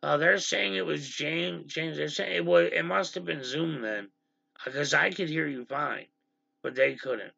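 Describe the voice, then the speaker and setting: A man with a gravelly voice hosting a casual livestream, talking animatedly and with exasperation to his chat. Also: fairly high pitch, no echo. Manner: animated, exasperated, quick asides to the stream chat. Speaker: livestream host. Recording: slightly dull lo-fi stream audio.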